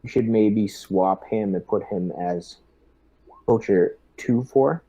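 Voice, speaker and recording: A man playing a squad lieutenant in a tabletop role-playing session, speaking in a deliberate, halting, businesslike way. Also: on the low side, mellow, lo-fi sound. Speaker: player acting as squad lieutenant.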